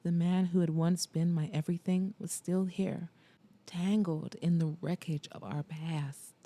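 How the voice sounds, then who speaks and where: Low, mellow woman narrating a romance. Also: fairly even intonation, unhurried. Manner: soft, intimate storytelling. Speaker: narrator and podcast host. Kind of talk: first-person audiobook narration.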